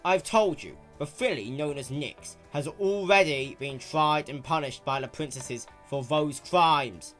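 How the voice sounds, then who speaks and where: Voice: mid-range voice, fairly bright tone, soft background music, pitched above the performer's own voice, lightly acted. A performer voicing a professional male police stallion, formal and firm, with patience running short. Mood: strained patience. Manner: formal, firm, measured officialese.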